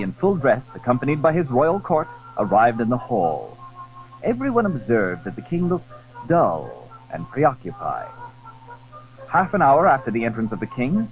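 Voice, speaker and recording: A man narrating a dramatized period story in a formal, measured, expressive storytelling style. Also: mid-range voice. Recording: dull, hissy old audio drama recording.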